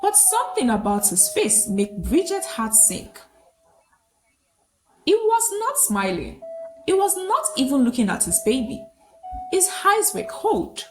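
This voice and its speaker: A woman's voice: high-pitched, sing-song, unhurried, music in the background, rough recording. A woman telling a dramatic folktale from a script, with a solemn, suspenseful delivery. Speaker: storyteller.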